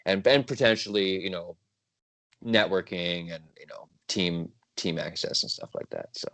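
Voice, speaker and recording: A low-pitched man speaks as the guest on a podcast, chatty and rambling, often laughing and correcting himself. The sound is close and dry, with no room echo. His delivery is loose and conversational. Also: moderate pace, creaky, fairly bright tone. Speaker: guest composer. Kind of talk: casual interview chat.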